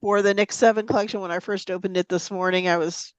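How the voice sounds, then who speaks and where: A woman's voice: medium pitch, fairly even intonation, fast talker, smooth, dry recording, dull audio. A woman teaching a photo editing demonstration, chatty and casual with frequent ums, sniffling a little from a cold. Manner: chatty, laughs easily, lots of ums. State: has a slight cold, sniffling. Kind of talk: live software demonstration. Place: meeting room or online forum.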